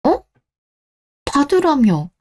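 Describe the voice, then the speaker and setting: Soft-toned, affectionate young girlfriend voiced by a woman. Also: high-pitched, big pitch swings, drawn-out words, slight background noise, voice pushed up, exaggerated voice. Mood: surprised. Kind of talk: Korean radio drama dialogue.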